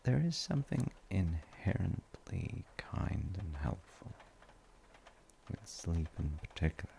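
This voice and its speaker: A man playing a gentle, reflective dad in an intimate audio roleplay, musing softly and reassuringly to a tired child with thoughtful pauses. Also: sing-song, a little hiss or hum, exaggerated voice. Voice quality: gravelly, creaky low voice. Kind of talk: soft intimate sleep-aid audio roleplay.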